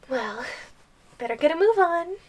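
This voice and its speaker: A woman's voice: sits high, a little mellow. A woman playing a possessive giantess girlfriend in an audio roleplay, taunting in a playful, menacing sing-song. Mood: gloating. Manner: sing-song taunting with giggles and drawn-out threats. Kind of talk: ASMR audio roleplay acting.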